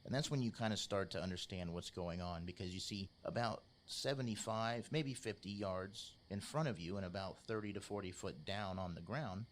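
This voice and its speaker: A low-voiced man runs a tabletop game. He narrates scenes in an informal, measured storytelling manner, with faint noise behind him. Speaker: game master, podcast host.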